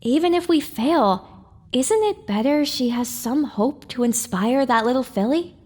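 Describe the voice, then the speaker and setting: A woman's voice: high-pitched, expressive, measured pace, mellow. A woman playing a young, stubborn, idealistic pony heroine in an audio drama, with a sassy but earnest manner. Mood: earnest, pleading.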